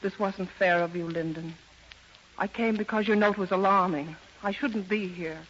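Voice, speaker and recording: An actress playing a refined, earnest society wife with a genteel, theatrical delivery in a 1930s radio drama. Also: female voice, medium pitch, fairly even intonation, moderate pace, fairly bright tone, lightly acted. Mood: reproachful, concerned. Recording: muffled, noisy 1930s radio recording.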